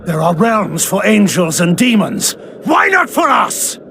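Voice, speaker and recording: An actor playing a vengeful, corrupted spirit of a fallen brother in a video game, menacing and theatrical, with bitter accusations. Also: male voice, sits high, very animated, measured pace, creaky, fairly bright tone, a little hiss or hum, exaggerated voice. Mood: furious. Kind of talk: dramatic video game villain acting.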